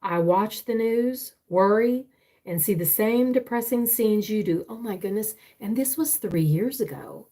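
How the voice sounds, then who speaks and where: A woman's voice: medium pitch, measured pace, dark tone. An older woman, reading her own stories and chatting casually on a live stream, rambling with frequent fillers and restarts, recorded on a tablet. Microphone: tablet used for live streaming.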